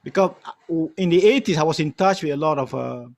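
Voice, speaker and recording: A man's voice: fairly high pitch, fast, creaky, darkish tone, no echo, studio quality. A middle-aged man with a Malaysian accent, telling anecdotes casually and emphatically, repeating phrases and often saying "you know". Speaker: guest storyteller and music archivist. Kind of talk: casual anecdotal storytelling on a panel.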